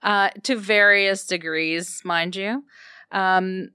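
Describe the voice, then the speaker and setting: Russian-native woman guest, smooth lowish voice, thoughtful and hesitant, dry close mic. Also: fairly even intonation, slow pace, sharp tone, dry recording. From Ukraine. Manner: thoughtful, many ums, laughs lightly. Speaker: guest, fashion brand founder. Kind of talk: casual interview chat.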